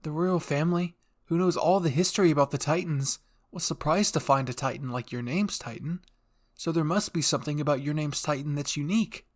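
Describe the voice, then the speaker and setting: A man's voice: a little high, brisk, close to an everyday voice. A man voicing a thoughtful, tentative teenage boy soldier, reasoning aloud in an anime fan roleplay.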